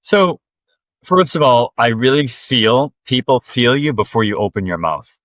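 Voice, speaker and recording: A man speaking as a podcast guest in an earnest, direct way, sharing reflections in relaxed conversation and quickening when he presses a point. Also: medium pitch, no echo.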